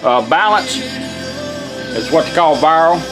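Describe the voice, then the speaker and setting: A man's voice: a little high, lively intonation, takes it slowly. A man giving an informal, hands-on product demonstration in a casual, folksy way. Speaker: radio restorer presenting a product. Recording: music playing behind the voice. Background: music from the demonstrated car radio.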